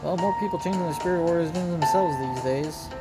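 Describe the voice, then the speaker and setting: A man's voice: fairly high pitch, fast talker. A man giving casual game commentary as a streamer, reading dialogue aloud. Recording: loud game music behind voice. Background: game music.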